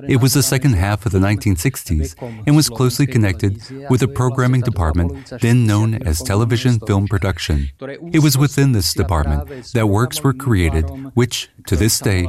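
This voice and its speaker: A man reading a translated voice-over in a steady, plain way. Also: on the low side, moderate pace, a crowd in the background.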